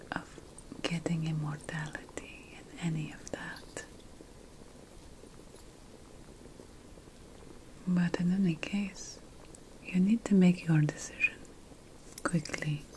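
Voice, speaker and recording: A woman playing an ancient, wry and fussy witch mentor in a slow, breathy whispered ASMR fantasy roleplay. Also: on the low side, a little mellow, poor audio. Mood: wry, coaxing. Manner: slow, deliberate, wry, theatrical. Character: ancient Mesopotamian witch mentor, teasing, fussy about plant remedies.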